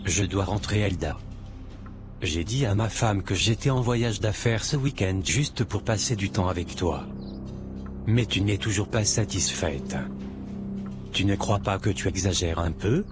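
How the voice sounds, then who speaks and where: Man acting an exasperated, low-voiced cheating husband. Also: unhurried, music in the background, natural voice. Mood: fed up. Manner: impatient. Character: exasperated, weary married man with a mistress.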